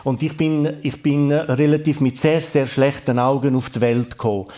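A man's voice: medium pitch, fairly even intonation, normal speed, warm tone. Man in his sixties, Romansh-tinged Swiss German. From Graubünden. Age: 60s. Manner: thoughtful, hesitant with fillers. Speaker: guest.

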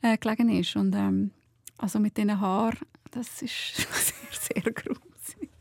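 A woman's voice: mid-range voice, fairly even intonation, a little slow, vocal fry, a little mellow, no echo, clean studio sound. A woman with a Swiss German accent co-hosting a podcast, presenting in a casual, hesitant, chatty way. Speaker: podcast co-host.

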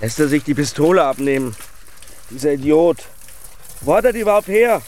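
A man's voice: on the high side, sing-song, a little fast, a little mellow, slight background noise. An actor playing an irritable, curt and impatient man in a radio crime drama. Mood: exasperated.

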